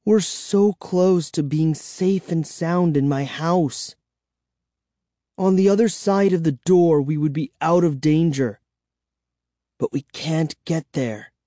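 A man's voice: a little high, takes it slowly, dark tone, dry recording, clean studio sound, pitched above the performer's own voice. A man voicing a frightened child in a spooky storybook, speaking with urgent anxiety. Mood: fearful, despairing. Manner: urgent, tense exclamations. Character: anxious, frightened child narrator in a vampire tale.

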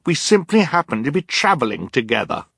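A man's voice: lowish voice, moderate pace, husky, no echo, studio quality, pitched above the performer's own voice. A man voicing an earnest, defiant teenage girl from a storybook, speaking firmly and emphatically.

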